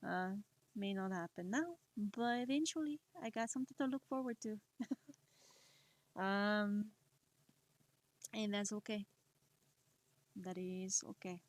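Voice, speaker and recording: Woman streamer, slow and soft-spoken, mid-range voice, encouraging chat. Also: mid-range voice, rough recording. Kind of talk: casual chat while drawing on stream.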